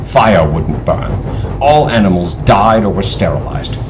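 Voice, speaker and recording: An actor playing a grave, knowledgeable team leader in an audio adventure drama, with a measured, authoritative way of explaining. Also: male voice, low voice, expressive. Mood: explanatory, grave. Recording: faint background noise.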